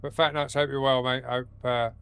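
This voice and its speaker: A man's voice: mid-range voice, level tone, moderate pace, creaky, a bit bright, clean tone. A man streaming a game from his home setup, talking casually with a blocked nose and a rambling, sweary manner. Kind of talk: casual live-stream chat while gaming.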